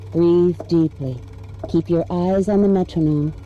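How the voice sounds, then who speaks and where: An actress playing a calm female hypnotist in a dramatic video game, giving measured, clinical commands to a patient. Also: fairly low pitch, lo-fi sound, soft background music, lightly acted.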